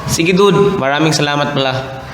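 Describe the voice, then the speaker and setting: A man's voice: a little high, normal speed, natural voice. A young Filipino man acting an earnest, polite and devoted boyfriend in an amateur radio drama. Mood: nervous, grateful. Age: young adult. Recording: roomy, echoey, noisy homemade recording.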